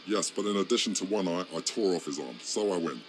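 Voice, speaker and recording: A man voicing an old one-eyed orc warlord, gruff and mocking, in a game cutscene. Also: low voice, quick pace, soft background music, close to an everyday voice. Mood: scornful.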